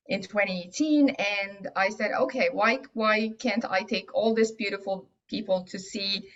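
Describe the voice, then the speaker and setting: This is a woman whose first language is Arabic, speaking in an unhurried, halting way with frequent fillers. She answers casual interview questions as a guest. The audio is dull and lo-fi. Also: mid-range voice, fairly bright tone. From Egypt. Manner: hesitant, many fillers and restarts. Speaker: guest aromatherapy teacher.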